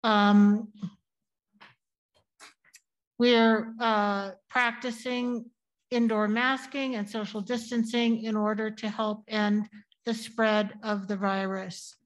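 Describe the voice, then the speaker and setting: A woman's voice: mid-range voice, flat delivery, takes it slowly, pauses often, rough recording. A woman from the congregation reading a church announcement, with a few hesitations. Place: church sanctuary.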